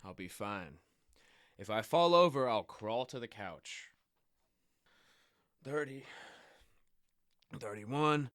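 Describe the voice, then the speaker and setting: An actor playing a proud, guarded, injured bull rider from a working-class family, with a Texan accent and halting, folksy speech, in a naturalistic radio play. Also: male voice, medium pitch, lively intonation, a bit bright, dry recording, clean studio sound. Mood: strained, stubborn. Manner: plain-spoken, folksy country grammar, halting. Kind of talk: naturalistic radio drama acting.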